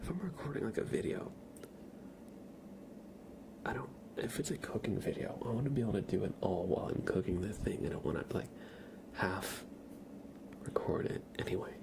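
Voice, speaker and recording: A man streaming ASMR, chatting casually to viewers in a soft, breathy whisper with a slightly stuffy nose. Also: fairly low pitch, lively intonation, normal speed, pauses often. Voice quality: breathy whisper with a creaky edge. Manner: soft-spoken, rambling, casual.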